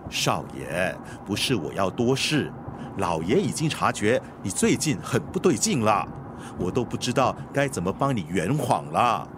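Nagging, animated loyal chauffeur. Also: male voice, fairly low pitch, normal speed. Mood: nagging, bewildered. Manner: questioning, earnest, deferential but blunt. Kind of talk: radio drama acting. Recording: clear street and vehicle noise.

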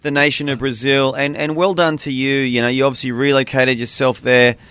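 Male interview host, mid-range voice, encouraging and clear, dry close studio sound. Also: medium pitch, level tone, fairly quick, a bit bright, no room sound. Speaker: radio host. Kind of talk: interview hosting.

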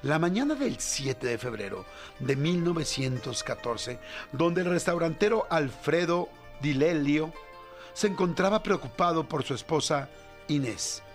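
A man's voice: fairly high pitch, animated, unhurried, faint music behind. A man with a Mexican accent hosting a radio show, talking casually and rambling with playful jokes. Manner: rambling and joking, with playful asides. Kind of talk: casual morning radio chat.

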